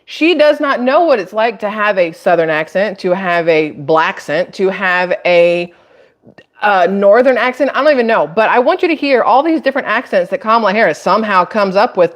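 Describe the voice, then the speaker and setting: A woman's voice: medium pitch, expressive, moderate pace. An American woman hosting a live stream of casual political commentary, chatty and rambling with emphatic asides. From Atlanta, United States.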